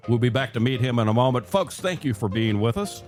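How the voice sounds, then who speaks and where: A man's voice: medium pitch, expressive, a little fast, a little mellow, soft background music. An older man hosting a talk radio show in a chatty, folksy, conversational way from a close studio microphone.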